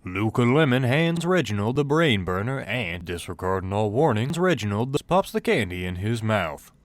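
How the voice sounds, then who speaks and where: A man's voice: on the low side, very animated, normal speed, no room sound. A man reading scripted narration for a comedy audio drama.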